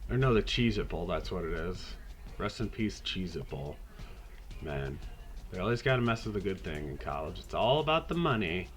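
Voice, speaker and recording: A man hosting a podcast, talking casually through a video game season in a raspy voice, hoarse from a cold, laid-back and rambling with the odd swear. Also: deep voice, sing-song, normal speed, soft background music. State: hoarse, getting over a cold. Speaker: podcast host.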